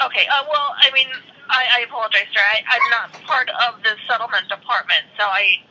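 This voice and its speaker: Tinny, bright-voiced woman on a phone line. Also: fairly high pitch, a little fast. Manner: polite, apologetic, procedural. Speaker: bank escalations manager. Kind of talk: customer service phone call. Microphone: far end of a phone call. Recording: tinny noisy telephone line.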